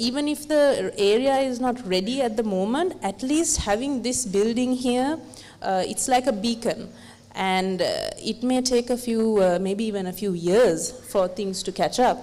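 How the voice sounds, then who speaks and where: A woman's voice: on the high side, darkish tone. Woman panelist in a reverberant hall. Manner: thoughtful, many fillers and restarts. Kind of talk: panel discussion remarks.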